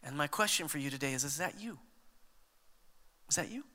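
A man in his fifties preaching a sermon as a pastor, conversational and animated, mixing scripture with humor. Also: on the high side, a little fast, in a large hall.

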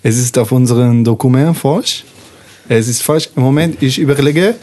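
A man's voice: mid-range voice, normal speed, warm tone, close to an everyday voice. A man playing a secretive, poor trailer-dwelling painter in a tabletop game, groping for words in broken, foreign-sounding grammar. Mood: halting, secretive. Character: halting, secretive male trailer-dwelling painter with little money.

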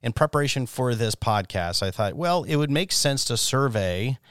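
A man's voice: mid-range voice, lively intonation, moderate pace, a bit bright, dry recording, studio quality. A middle-aged man hosting a church podcast, introducing topics and putting questions to his guests. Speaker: podcast host and lay church elder.

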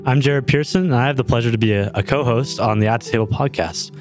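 A man's voice: mid-range voice, quick pace, soft background music. A young man from California co-hosting a podcast, introducing himself and asking questions in an upbeat, eager way. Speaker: podcast co-host. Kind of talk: scripted intro and interview questions.

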